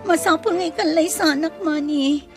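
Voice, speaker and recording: A woman's voice: high voice, a little fast, music in the background, lightly acted. A woman playing an anxious, pleading unmarried young mother-to-be in an Ilocano drama. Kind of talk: Ilocano radio-style comedy drama acting.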